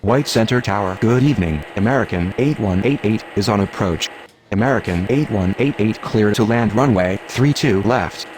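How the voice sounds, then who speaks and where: Male air traffic operator for a game, actor: lowish voice, businesslike, scripted radio phraseology. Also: moderate pace, close to an everyday voice, rough recording. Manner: formal, procedural.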